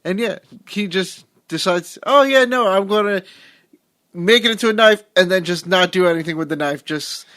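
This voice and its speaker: High-voiced man swearing casually. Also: moderate pace, bright, forceful tone. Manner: casual, swears freely, agrees often. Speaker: podcast co-host. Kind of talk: casual movie chat.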